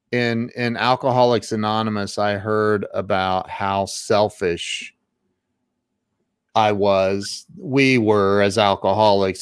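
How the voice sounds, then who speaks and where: A middle-aged man settles into a long answer, taking his time and circling back over his words. His voice is smooth and clear, and it sounds dry and close to the mic. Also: medium pitch, no room sound. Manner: rambling, laughs often, many restarts. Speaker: guest, entrepreneur. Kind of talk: casual interview talk.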